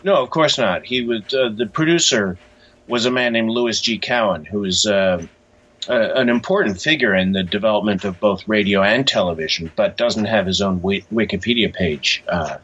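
A man with a fairly low, husky voice gives reflective interview answers. He is halting and often restarts his phrases. The sound is somewhat dull and lo-fi. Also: fairly bright tone. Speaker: interview guest, author.

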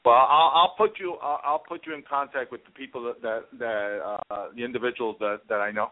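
Talk radio host, man, halting delivery with repeated words, mid pitch, thin telephone-grade audio. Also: steady tone. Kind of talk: casual political talk radio. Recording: thin phone-like sound.

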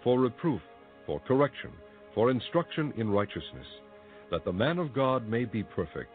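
A man reciting a Bible passage in a steady, measured, formal reading. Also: medium pitch, phone-quality sound, soft background music. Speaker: scripture reader.

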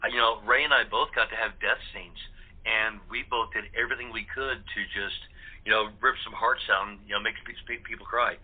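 A man's voice: lowish voice, steady tone, fairly quick, slightly rough. A man speaking as an interview guest, casual and earnest, rambling and often repeating words. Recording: tinny phone-like line.

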